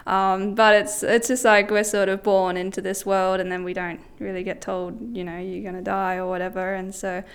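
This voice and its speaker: A woman's voice: medium pitch, flat delivery, moderate pace, hardly any pauses, smooth. A young woman with an Australian accent talking casually and hesitantly about herself as a musician. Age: young adult. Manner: hesitant, informal. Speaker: musician interviewee. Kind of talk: casual reflective talk.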